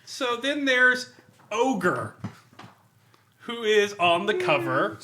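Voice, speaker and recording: A man's voice: sits high, big pitch swings, extremely slow, background noise. A man hosting a podcast in a humorous, sardonic review style, reading aloud and commenting with amusement. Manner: amused, sardonic, often laughing while talking. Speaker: podcast host reviewing a tabletop game.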